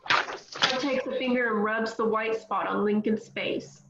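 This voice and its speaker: Woman narrating a script table read; slightly high voice, roomy sound. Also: fairly even intonation, unhurried, highs cut off, heavy background noise. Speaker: narrator reading stage directions.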